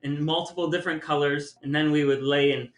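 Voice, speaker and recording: A man's voice: a little high, fairly even intonation, a little fast. A middle-aged man hosting a casual podcast, reminiscing with a wry, lightly joking manner. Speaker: podcast host. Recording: roomy, echoey sound.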